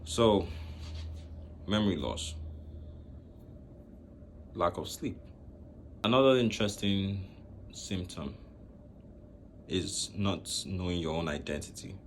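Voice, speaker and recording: A young man from Nigeria speaking to camera in a slow, reflective way, pausing often between phrases. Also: low voice, slight background noise. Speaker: vlogger. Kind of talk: personal talk to camera.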